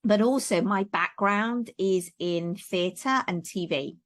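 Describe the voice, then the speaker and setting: A woman's voice: mid-range voice, slow. A middle-aged woman from Wales, talking casually as a guest on a video call, with lots of fillers and restarts. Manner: chatty, many fillers and restarts. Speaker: guest, parenting coach. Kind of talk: casual interview chat.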